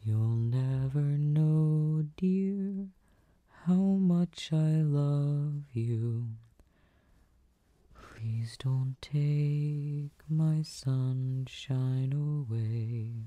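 A man speaks softly and intimately, as in a boyfriend comfort roleplay. His delivery is hushed, soothing and very unhurried. The recording is dry and close, with a slightly dull, muffled sound. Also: a little high, no echo. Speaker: comfort audio performer playing a boyfriend. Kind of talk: soft intimate ASMR roleplay. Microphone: close desk microphone.

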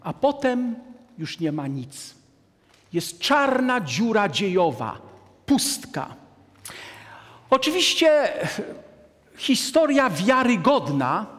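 A man in his sixties giving a deliberate, emphatic lecture to an audience from a lectern in a large hall. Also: high-pitched, a bit bright. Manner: deliberate, emphatic, gestures at a map. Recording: long hall reverb.

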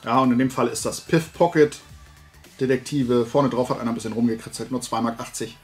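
A man's voice: medium pitch, fairly even intonation, brisk, darkish tone, soft background music. A middle-aged man chatting casually and enthusiastically in a rambling haul vlog. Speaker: YouTube host and collector. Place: indoor room full of shelved toys.

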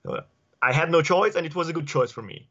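A man in his forties with an Italian accent, answering interview questions at home in a halting way with many fillers and restarts, speaking on a video call through an earphone mic. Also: on the high side, expressive, vocal fry, fairly bright tone. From Italy. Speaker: guest. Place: home study with bookshelves. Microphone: earphone headset mic on video call. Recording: dull lo-fi video call audio.

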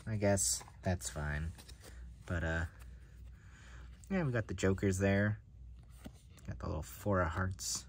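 A man's voice: low-pitched, slow pace, pauses often, slight background noise. A man casually narrating an unboxing of playing cards, musing to himself as he handles them. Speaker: card collector reviewing decks. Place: indoors at a table.

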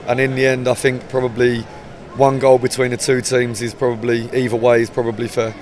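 A man in his forties, a football manager with an English accent, giving a measured, hesitant post-match interview outdoors by the pitch. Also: level tone, darkish tone. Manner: measured, hesitant, many restarts. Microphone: handheld mic held up close.

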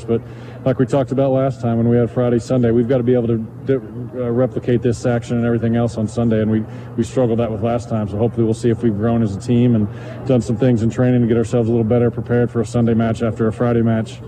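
A man's voice: medium pitch, level tone, rapid-fire. A middle-aged man, a soccer coach, giving a matter-of-fact, analytical post-game interview into a handheld microphone outdoors. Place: outdoors on a soccer field at night. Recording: clear outdoor field noise.

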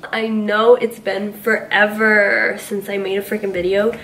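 A woman's voice: mid-range voice, level tone, unhurried, a bit bright, distant mic, a little hiss or hum, poor audio. A young woman sounding congested, chatting casually through a makeup tutorial with lots of ums and likes, in a small bedroom. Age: young adult. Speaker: beauty vlogger.

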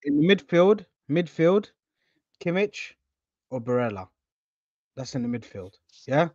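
A man hosting a football chat on a video call, animated and slangy, often saying "bro, listen". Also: fairly high pitch, slow, no room sound, dull audio. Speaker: channel host.

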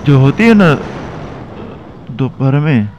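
A young man, narrating casually to viewers while riding a motorbike through city streets, talking in halting bursts. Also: mid-range voice, sing-song, darkish tone. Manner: chatty, halting, addresses viewers as guys. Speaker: motovlogger. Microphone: helmet or bike-mounted action camera mic. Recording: muffled mic with traffic noise.

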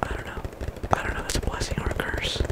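A soft-spoken ASMR creator, sick at the moment, whispering a casual, earnest ramble full of slang and fillers. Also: quick pace. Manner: earnest encouragement.

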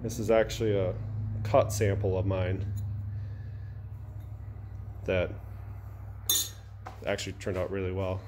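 Low-voiced American man, casual and blunt. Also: little pitch movement, noisy background. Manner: informal, blunt, halting with self-corrections. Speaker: welder-fabricator reviewing a tool. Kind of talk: casual unscripted product review. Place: indoor metalworking garage workshop.

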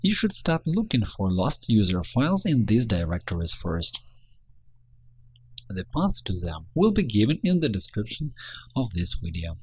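A man narrates a software tutorial from a script, step by step. His voice is husky and of medium pitch, with lively rises and falls. The sound is close and dry. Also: moderate pace, no echo, poor audio.

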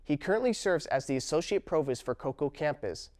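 A man's voice: on the high side, expressive, brisk, a little mellow. A young adult man, a student presenter, reading a script to camera in an indoor studio with an upbeat delivery. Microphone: lapel mic.

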